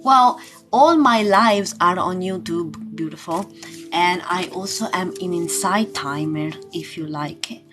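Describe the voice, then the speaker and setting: A woman chatting informally as a livestream tarot host, unhurried and pausing, with loose, sweary phrasing. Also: fairly low pitch. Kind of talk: casual livestream chat with card readings. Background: music.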